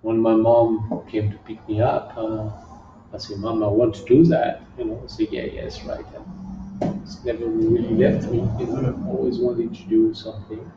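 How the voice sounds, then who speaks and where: A man's voice: fairly low pitch, flat delivery. A middle-aged man from southern France with a French accent, answering interview questions casually and stopping often to find English words. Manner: halting, searching for English words. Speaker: guest, kickboxing champion. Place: gym. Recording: echoey room, distant muffled mic, faint hiss.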